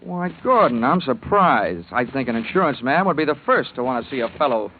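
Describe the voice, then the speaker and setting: An actor playing a wisecracking, cynical insurance investigator in a 1940s radio drama. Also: male voice, medium pitch, very animated, a little fast, noisy background, close to an everyday voice. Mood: sardonic. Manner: sardonic, wisecracking. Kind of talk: 1940s radio acting with first-person narration. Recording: muffled old 1949 radio recording with music.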